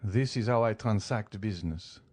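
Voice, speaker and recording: A man's voice: fairly low pitch, moderate pace, darkish tone, close to an everyday voice. A man voicing a proud middle-aged banker who speaks formally and boastfully. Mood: proud, pleased. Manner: formal, boastful, then stammering.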